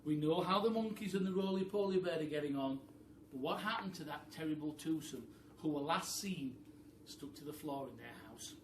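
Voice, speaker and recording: A man's voice: on the high side, expressive, normal speed. A man telling a story to children like a primary teacher, informal, with chatty asides straight to the listeners. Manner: informal, addressing children directly. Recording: roomy, noisy, distant microphone.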